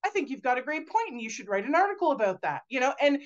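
A woman in her forties, a guest on a video call interview, talking loudly and animatedly with lots of fillers and restarts. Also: high-pitched, very animated, quick pace, dull audio. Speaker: guest author. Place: home room indoors. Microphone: video call webcam.

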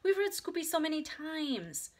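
A woman's voice: medium pitch, very animated, brisk, a bit bright. A woman voicing a put-upon, exasperated older sister in a children's storybook, cross and protesting.

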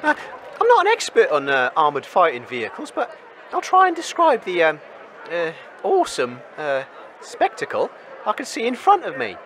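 Man as a high-voiced, bumbling radio reporter. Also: wildly swooping pitch, moderate pace, fairly bright tone, exaggerated voice. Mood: hesitant, underwhelmed. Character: excitable, flustered male wasteland radio reporter. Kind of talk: live event commentary in comedy radio drama. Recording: noisy crowd ambience behind.

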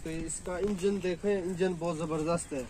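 A man's voice: fairly high pitch, steady tone, normal speed, noisy background. A man chatting casually outdoors on his farm, proudly showing things off to a visitor. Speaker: farm owner showing guest around. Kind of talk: casual chat.

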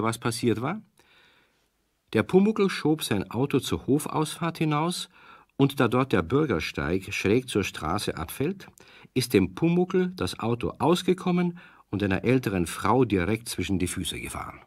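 A man narrating a children's radio play in a calm, friendly storytelling way. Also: mid-range voice, measured pace, dry recording.

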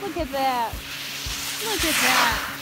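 A woman's voice: high-pitched, expressive, takes it slowly, loud music behind the voice, low-quality recording. A young American woman in her twenties chatting casually and animatedly to camera as a vlogger. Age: 20s. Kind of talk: casual vlog chat to camera. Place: various: car interior, home, outdoor ski slope at night. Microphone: handheld camera at arm's length.

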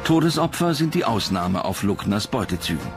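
A man's voice: fairly low pitch, sing-song, a little fast. Male documentary narrator over prominent music.

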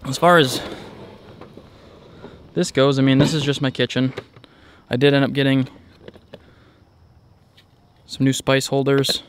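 A man's voice: mid-range voice, little pitch movement, a little slow, long pauses, slight background noise. A man vlogging outdoors, narrating a casual walkaround in a rambling, informal way. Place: outdoors in a driveway beside a truck.

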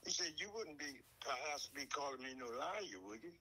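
Bright, gravelly male storyteller. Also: fairly high pitch, expressive, normal speed. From North Carolina, USA. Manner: folksy, acts out quoted dialogue. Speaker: interviewee telling a personal story. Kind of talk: oral storytelling, conversational.

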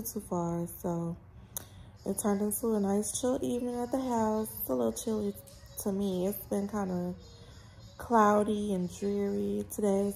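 A chatty woman talks into a phone held close in a small bedroom. Her mid-pitched voice has a gravelly fry to it, and faint room noise hums behind her. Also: dark tone, rough recording. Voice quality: gravelly morning voice. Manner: chatty, laughing, addresses viewers as y'all. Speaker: vlogger. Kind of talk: casual chat to camera. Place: small bedroom at home, indoors. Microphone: phone held close or propped at arm's length.

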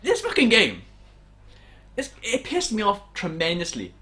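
A man's voice: sits high, huge pitch swings, a little slow, bright, forceful tone, breathy edge. A young man giving an exasperated, sweary, informal comedic game review. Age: young adult. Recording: noisy home room recording.

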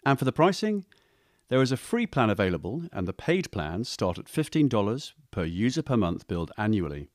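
A man reading a scripted explainer voice-over for a software review video, recorded close to the mic. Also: lowish voice, big pitch swings, a little fast, no echo.

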